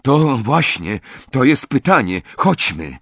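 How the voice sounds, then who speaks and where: A man's voice: medium pitch, sing-song, slow pace, fairly bright tone, slightly rough, dry recording, studio quality, voice pushed up, exaggerated voice. A man voicing an earnest, urgent teenage boy hero in an audiobook. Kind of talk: audiobook character voice.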